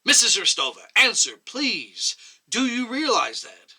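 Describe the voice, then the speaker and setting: A man reading a story and voicing a stern, exasperated commission chairman, interrogating with emphatic, drawn-out words. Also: sits high, big pitch swings, bright, forceful tone, slightly rough, voice pushed up, heavily acted. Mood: stern, pressing. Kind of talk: dramatic short story reading.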